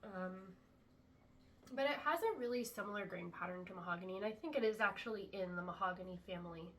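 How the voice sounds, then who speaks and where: Woman flute maker, stuffy from a cold, relaxed product demo, recorded a bit off-mic in an echoey room. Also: medium pitch, quick pace, recorded from a distance, a little hiss or hum. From US Pacific Northwest. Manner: chatty, relaxed, many ums. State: congested after a chest cold. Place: indoor room, talking to camera.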